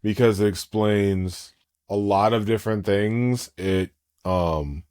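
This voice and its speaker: A man in his late thirties chatting casually as a podcast co-host, an animated and gushing fan. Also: on the low side, takes it slowly, smooth. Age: 30s.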